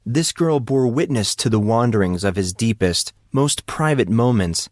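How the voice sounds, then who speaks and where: A male audiobook narrator delivers dramatic scripted fiction at a middling pitch. The sound is dry and close, with very little room around the voice. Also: lively intonation, normal speed, no echo.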